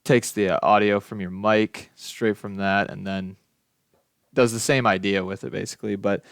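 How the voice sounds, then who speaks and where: A young adult man giving a casual software demonstration as a student, informal, offhand and a little tentative. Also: lowish voice, steady tone, moderate pace, gravelly, clear, resonant tone, dry recording, studio quality. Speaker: student presenting a final project.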